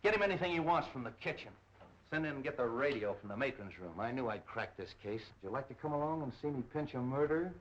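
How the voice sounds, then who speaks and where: A man acting as a tough, brash police captain in a 1930s movie. He talks in a commanding, clipped, slangy way at an ordinary male pitch, over a muffled soundtrack with faint noise. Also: animated, fast talker, lightly acted. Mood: urgent, commanding. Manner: brusque, commanding. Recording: noisy, dull 1930s film soundtrack.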